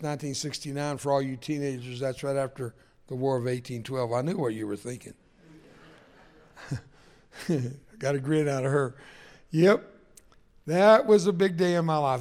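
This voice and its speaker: An older man whose first language was Spanish, preaching a sermon in a folksy, self-deprecating way and swinging from jokes to quiet, personal moments. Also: medium pitch.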